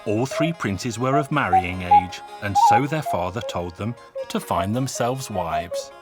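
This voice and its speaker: Low-voiced man telling folk tales over music. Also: expressive, measured pace. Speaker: storyteller narrator. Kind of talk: scripted folk tale narration for animation. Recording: music under the voice.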